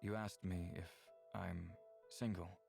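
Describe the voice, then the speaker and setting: Gentle, low, creaky-voiced alien man. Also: moderate pace. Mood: subdued, hopeful. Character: gentle, melancholy adult male alien neighbor. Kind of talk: visual novel voice acting. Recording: music under the voice.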